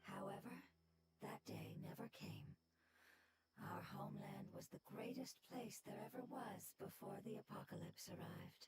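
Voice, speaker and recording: A woman voicing a calm, ancient spirit-like being who speaks in a measured, gently breathy way in a video game. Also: medium pitch, loud music behind the voice, natural voice, poor audio.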